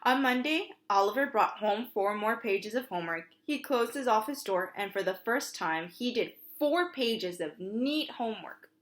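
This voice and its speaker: A woman's voice: mid-range voice, animated, normal speed, hardly any pauses. A woman preschool teacher reads a storybook aloud for young children, expressively, with simple asides to her listeners.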